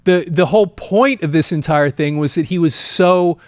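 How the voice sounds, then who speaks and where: A man's voice: fairly high pitch, normal speed, dry recording, clean studio sound. A man hosting a show and telling a story casually to his audience, acting out bits of dialogue as he goes. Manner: animated retelling, voicing quoted dialogue. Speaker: host and storyteller.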